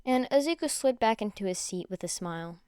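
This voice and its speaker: A woman narrating a fan fiction story aloud with expressive delivery. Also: medium pitch, fairly quick, smooth, no room sound, studio quality.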